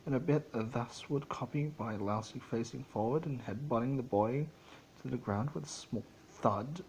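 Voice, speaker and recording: A man giving an amateur dramatic reading of a fantasy story, theatrical but halting, stumbling over words. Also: mid-range voice, darkish tone, a little hiss or hum, rough recording. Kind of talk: dramatic storybook narration of fan fiction.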